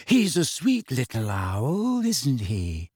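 A man voicing a dreamy, serene teenage girl with a soft, drifting delivery in an audiobook. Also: medium pitch, huge pitch swings, husky, no echo, clean studio sound, full character voice. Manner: slow, drifting. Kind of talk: audiobook character acting.

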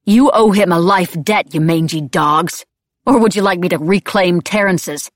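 A woman playing a fierce young witch in an audiobook, speaking sharply and forcefully with angry threats. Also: lowish voice, moderate pace, no echo, clean studio sound, close to an everyday voice. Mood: enraged. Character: furious young witch, fierce and protective of her mate.

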